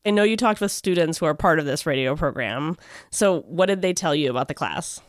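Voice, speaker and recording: A woman hosts a radio interview with a smooth, resonant voice. she sounds close and dry, as if she's in a treated studio booth. she gives a measured, polished read of each question. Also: low voice, animated, dry recording. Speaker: radio host. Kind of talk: scripted interview hosting.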